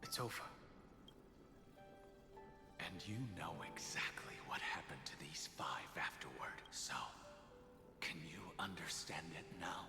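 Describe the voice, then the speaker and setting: An actor playing a solemn, weary leader in a game, with a measured, grave and formal delivery. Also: male voice, deep voice, in a whisper. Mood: bitter, anguished. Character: solemn, weary male Vidyadhara leader with hidden anguish. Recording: long echo, music behind.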